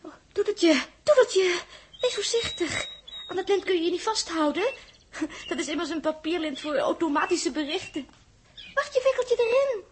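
A woman with a Netherlands Dutch accent playing an anxious, tender girl aboard a spaceship in a 1960s radio drama, emotive and halting, often muttering to herself. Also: very high-pitched, lively intonation, a little hiss or hum. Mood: fond, worried.

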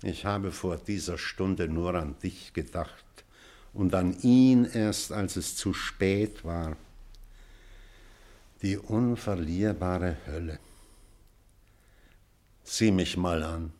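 Old male teacher, kinda deep, slow n thoughtful, wry and weary, drama piece. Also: a little mellow. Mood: rueful. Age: older. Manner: deliberate, pensive, measured delivery with weighty pauses. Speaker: actor. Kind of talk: German literary stage drama.

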